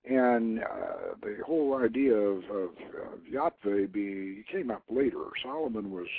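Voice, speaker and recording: A man hosting a radio show, explaining things informally in a halting way, repeating and rephrasing as he goes. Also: on the low side. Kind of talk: informal explanatory talk, taking calls. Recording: narrow phone-like internet radio line.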